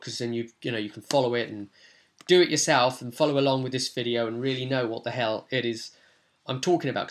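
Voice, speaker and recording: A man talking casually and enthusiastically as a game master, recapping a tabletop role-play session in a rambling, informal way. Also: mid-range voice. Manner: chatty, enthusiastic, informal slangy asides.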